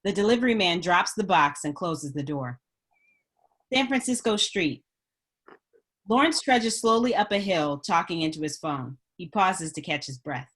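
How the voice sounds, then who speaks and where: A woman's voice: lowish voice, expressive, moderate pace, a bit bright. A woman reading stage directions as scripted narration at a screenplay table read.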